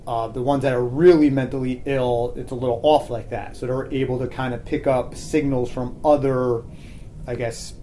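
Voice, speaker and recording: A man in a relaxed, reflective podcast conversation. His voice is mid-pitched and dark, without sharp edges. He feels his way through ideas haltingly, often rephrasing as he goes. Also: a little hiss or hum. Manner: halting, thinking aloud, hedged phrasing. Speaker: podcast guest, teacher.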